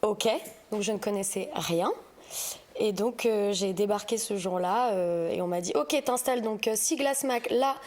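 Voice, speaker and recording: A woman speaking casually as a podcast guest, pausing often as she tells about her work. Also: medium pitch, a little mellow. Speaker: podcast guest, audiovisual sustainability consultant. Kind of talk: casual interview storytelling.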